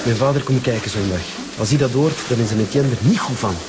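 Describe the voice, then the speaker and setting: A man's voice: medium pitch, fast talker, very noisy, close to an everyday voice. Man acting a hot-headed young Flemish footballer. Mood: resentful. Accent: Flemish Belgian. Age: young adult. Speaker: actor in a radio serial. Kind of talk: naturalistic radio drama acting.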